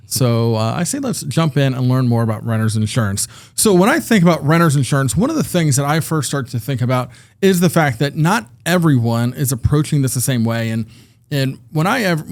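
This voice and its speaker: A man hosting a podcast interview in a studio, talking in a friendly, conversational way with some fillers. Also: a little high. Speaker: podcast host. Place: recording studio.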